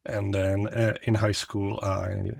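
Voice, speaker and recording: Low-voiced man hosting. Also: flat delivery, takes it slowly, gravelly, darkish tone, dry recording, studio quality. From Czechoslovakia. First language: Czech. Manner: thoughtful, reflective, lightly joking. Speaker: podcast host. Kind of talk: casual conversational interview.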